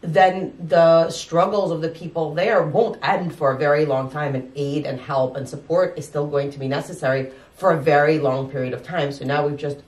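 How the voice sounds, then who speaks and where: A woman doctor from Ukraine, explaining calmly and giving advice in an interview. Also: deep voice, moderate pace, few pauses, roomy sound, far from the mic, low-quality recording. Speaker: doctor and medical guest.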